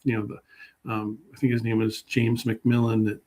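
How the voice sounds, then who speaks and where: A man talking casually as an interview guest, halting and unassuming as he thinks aloud. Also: on the low side, completely flat delivery, a little mellow. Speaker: guest public historian.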